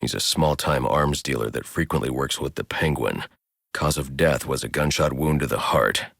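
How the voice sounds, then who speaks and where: An actor voicing a grim, brooding masked vigilante detective in a video game, speaking in a terse, stern, deadpan way. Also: male voice, very deep voice, normal speed, creaky, no room sound, clean studio sound, heavily acted. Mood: analytical, deadpan. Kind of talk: scripted video game voice lines.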